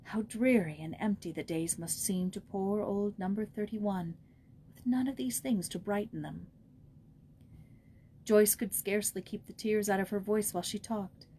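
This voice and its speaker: A woman's voice: mid-range voice, normal speed, dark tone. A woman reading a scripted children's story as an audiobook narrator. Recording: noticeable background noise.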